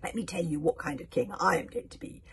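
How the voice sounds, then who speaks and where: A woman's voice: sits low, expressive, quick pace, a little hiss or hum. A woman voicing a brutal, merciless male villain king from a storybook, sneering and gloating as he declaims with menace. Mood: gloating tyranny.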